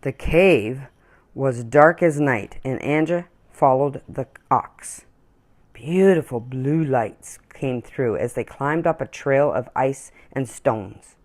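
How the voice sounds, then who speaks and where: A woman reading a children's story aloud in an expressive, deliberate storytelling manner. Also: extremely low pitch. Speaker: children's story-time reader.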